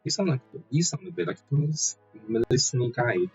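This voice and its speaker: A man's voice: medium pitch, expressive, a little fast. Muffled man commenting on a game stream. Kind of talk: casual live Let's Play commentary. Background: game music.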